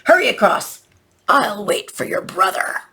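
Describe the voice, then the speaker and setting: A woman voicing an ugly, greedy, hungry male troll for a children's storybook, growling out slow, menacing threats. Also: mid-range voice, very animated, vocal fry, noisy background. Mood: grudging, greedy. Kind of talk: children's storybook read-aloud.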